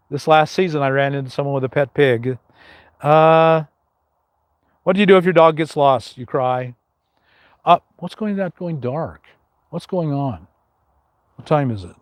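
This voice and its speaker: A man's voice: on the high side, no echo, studio quality. An older man with a big, carrying voice hosts a casual live question-and-answer stream, rambling with many fillers and restarts.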